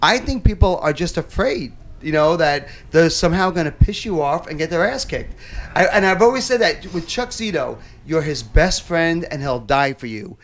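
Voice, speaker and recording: Stammering talk show host, higher voice. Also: male voice, expressive, moderate pace. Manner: stammering restarts, blunt and profane. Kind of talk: casual interview chat. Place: nightclub.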